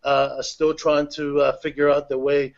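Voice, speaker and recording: Halting upbeat male webinar host. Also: fairly high pitch, flat delivery, vocal fry, highs cut off. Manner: filler-heavy, halting, upbeat. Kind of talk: casual online webinar hosting.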